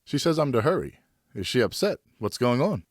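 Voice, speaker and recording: A male narrator acts a weary French nobleman and father in a historical audiobook. He reasons earnestly and soothingly, with an animated rise and fall above the reader's usual low pitch. The sound is very dry. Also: a little fast, no room sound. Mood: puzzled, tired. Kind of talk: audiobook character acting.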